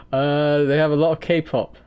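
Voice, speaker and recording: A man hosting a livestream, chatting casually with his viewers while he draws, in a halting way full of restarts. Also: on the high side, little pitch movement, darkish tone, smooth, no room sound, lo-fi sound. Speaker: livestream host.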